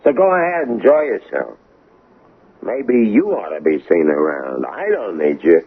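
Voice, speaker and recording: A tough, domineering American smuggler in an old radio play. His voice is extremely deep, full of gravel and rasp. He talks in curt street slang, on a tinny, narrow-band recording. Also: wildly swooping pitch, a little slow, full character voice. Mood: irritated, menacing. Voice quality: gravelly, husky. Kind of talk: 1980s radio drama acting.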